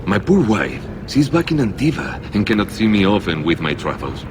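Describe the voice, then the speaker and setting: An actor playing a suave foreign merchant in a video game, with courtly, flowery sales patter. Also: male voice, low voice, very animated, moderate pace, background noise. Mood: guarded, wistful. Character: suave male Antivan merchant peddling fine wares. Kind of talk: theatrical video game character acting.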